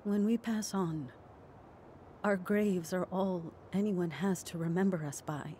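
An actress playing a guilt-ridden, judgmental middle-aged townswoman in a video game drama, with a halting, defensive manner. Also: lowish voice, mellow, slight background noise.

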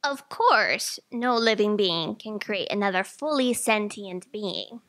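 An actress playing an eager, outspoken young fact checker, earnest and insistent, in a staged audio drama. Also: female voice, mid-range voice, lively intonation, slow, fairly bright tone. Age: young adult. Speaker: stage actor. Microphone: stand microphone on stage.

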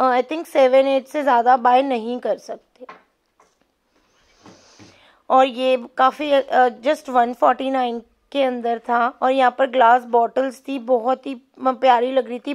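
High-pitched chatty woman. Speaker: shopping vlogger. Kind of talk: casual vlog voice-over with English words mixed in.

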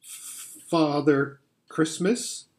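A man's voice: a little high, sing-song, normal speed, a little mellow. A man voicing a timid, elderly fox in a storybook, humble and deferential in manner. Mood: nervous deference. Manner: deferential, stammering.

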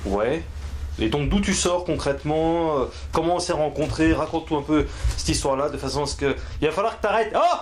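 A man's voice: on the high side, expressive, fairly quick, slight background noise. Mock-serious male comedy host. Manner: mock-serious, turns abruptly bossy and insulting. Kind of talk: comic mock interview. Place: indoor cluttered shed.

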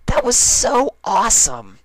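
A man plays a brash young female cartoon pony with wings. The voice jumps high and loud, bright and forceful. She is excitable and gushes in exclamations, as in a storybook reading. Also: a little slow, dry recording, exaggerated voice. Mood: excited, awed. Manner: gushing, exclamatory.

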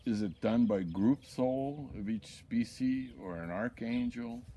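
An older man reading his own poetry aloud in a deliberate, declamatory way. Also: medium pitch, warm tone. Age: older, 60s or 70s. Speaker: poet reading own work. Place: outdoors in a backyard. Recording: outdoor ambience with birds, background noise.

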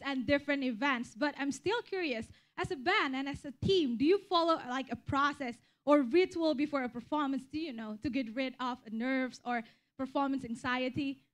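A young woman hosting a live stage interview on a small venue stage, speaking into a handheld mic and cheering the band on excitedly. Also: high-pitched, moderate pace. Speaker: event host.

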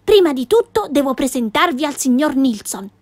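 A woman voicing a cheerful, boastful little girl who tells wild tales, in a lively, exclaiming way. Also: sits high, moderate pace, no room sound, voice pushed up.

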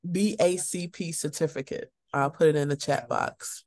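A webinar staff member slowly spelling out a web address and an email address for attendees. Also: medium pitch, no room sound, studio quality. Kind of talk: giving instructions in a webinar.

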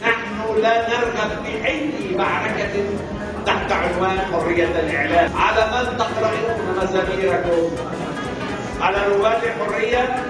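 A man's voice: sits high, moderate pace. A man giving declamatory oratory in a rhetorical style. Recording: very echoey, distant, music over it.

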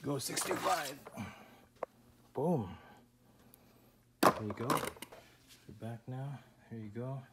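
A man's voice: mid-range voice, animated, slow pace, pauses often, heavy background noise. A man casually commenting while he unboxes and tests adjustable dumbbells, repeating short stock phrases. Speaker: product reviewer. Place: room with foam floor mats, indoors.

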